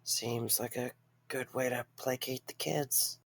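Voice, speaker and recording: Man as a gravelly, sardonic theatergoer. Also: medium pitch, steady tone, slow pace, fairly bright tone, heavily acted. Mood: impatient. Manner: sarcastic, grumbling. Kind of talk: conversational audio drama acting.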